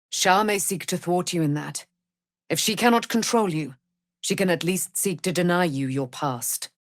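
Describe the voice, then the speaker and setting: A woman's voice: fairly low pitch, no echo, studio quality. A woman playing a proud, vengeful and noble immortal warrior in a fantasy video game, declaiming in a grand, formal, archaic way.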